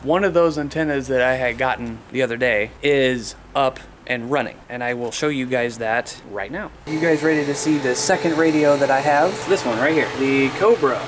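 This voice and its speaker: A man's voice: mid-range voice, moderate pace. A man in his thirties talking casually and informally, explaining things in a rambling way as a hobbyist vlogger. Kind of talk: casual vlog chat. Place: outdoors in a yard, later a small room indoors. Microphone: camera at arm's length. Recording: noisy camera audio with background hum.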